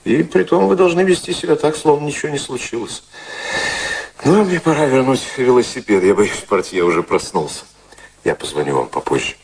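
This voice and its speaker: An actor playing a cynical, cool-headed gentleman in a radio drama, ironic and commanding in manner. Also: mid-range voice, lively intonation, a little fast, gravelly. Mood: brisk, commanding. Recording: radio play with faint background noise.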